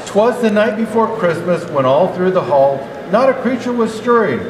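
An older man reading a children's rhyming storybook aloud as Santa Claus, in a measured, expressive delivery. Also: sits high, a crowd in the background. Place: indoor natural history museum hall. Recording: echoey hall, clearly noisy background.

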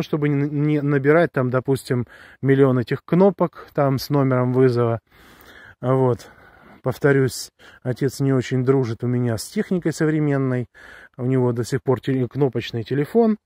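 Guy vlogging on a walk outside, mid pitch, hesitant chatty explaining, dry sound. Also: warm tone, no echo. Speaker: vlogger reviewing a gadget. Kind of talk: casual walking vlog, talking to viewers. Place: outdoors on a woodland path, walking. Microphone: handheld camera or phone held close while walking.

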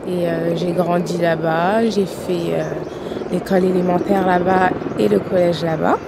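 A young adult woman from Paris, giving a slow, reflective personal testimony in an outdoor garden. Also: fairly low pitch, fairly even intonation, creaky, very noisy. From Paris, France. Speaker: patient interviewee.

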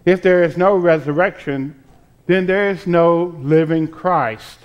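A pastor in his sixties reading scripture from a church pulpit in a deliberate, solemn way with pauses. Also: a little high, clear, resonant tone, dull audio. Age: 60s.

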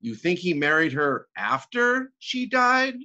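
A man's voice: high voice, sing-song, pushed and bright, muffled recording, pitched above the performer's own voice, exaggerated voice. A man playing a caustic, wealthy divorced woman. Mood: mocking. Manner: sardonic, profane, drawn-out emphasis. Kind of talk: theatrical dramatic monologue reading.

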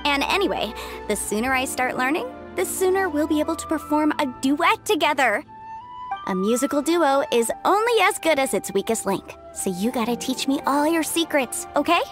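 An eager young musician in a fantasy game, who coaxes her teacher in a playful way. Her voice is high and bouncy, with clear music playing behind her. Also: big pitch swings, moderate pace. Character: bubbly young woman musician, eager to learn. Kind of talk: video game voice acting.

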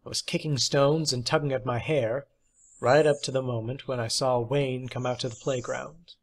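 A man's voice: medium pitch, fairly even intonation, moderate pace. A man reading a short story aloud as scripted audiobook narration. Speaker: audiobook reader.

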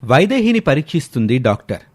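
A man's voice: medium pitch, big pitch swings, fast talker, breathy edge. A man reading scripted narration as the narrator of a story.

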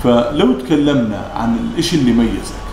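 Male host, Levantine Arabic accent, business interview, polite and effusive, quick pace, noisy background. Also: medium pitch, animated. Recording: roomy, echoing, clearly noisy background.

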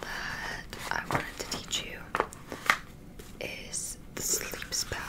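A young woman whispering an ASMR roleplay as a witch teacher, gently encouraging and instructional, close to the microphone. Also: very slow. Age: young adult. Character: friendly witch teaching spells and potions to a beginner.

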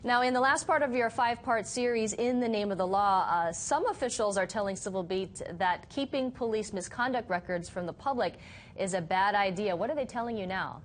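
A woman anchors a live morning newscast from a TV studio, speaking into a lapel mic. Her delivery is crisp and polished, with a middle pitch and smooth transitions between stories. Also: brisk, a little hiss or hum. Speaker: news anchor. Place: TV news studio.

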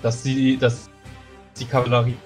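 Halting male player proposing tactics. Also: medium pitch, monotone, soft background music. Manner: searching for words, halting. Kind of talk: casual strategy discussion.

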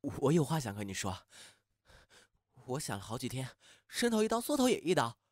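Male actor as lovestruck young man for audio drama: agitated, impulsive, very animated pitch, dry sound. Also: moderate pace, no echo. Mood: nervous, earnest. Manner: muttering, agitated, sighing, self-questioning. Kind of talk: Chinese audio drama acting.

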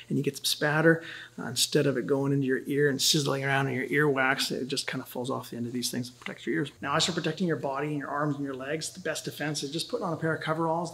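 A man's voice: medium pitch, creaky, a bit bright. A man, teaching welding safety in a casual, joking way in a welding shop. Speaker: welding instructor. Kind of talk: instructional video.

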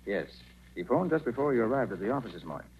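An actor playing a confident, genial master detective in a 1940s radio drama. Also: male voice, mid-range voice, sing-song, fast talker, lightly acted. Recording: muffled, noisy 1940s radio recording.

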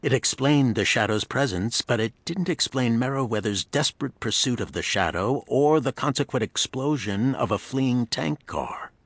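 A man narrating a pulp thriller as scripted storytelling, in a dramatic, measured manner. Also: mid-range voice, lively intonation, no room sound.

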